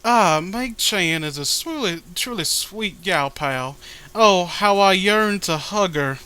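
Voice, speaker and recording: A man's voice: high-pitched, lively intonation, slow, pushed and bright, clean tone, full character voice. A man voicing a lonely, earnest, lovesick male comic-book character, gushing and drawing out his words. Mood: wistful, adoring. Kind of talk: dramatized comic reading.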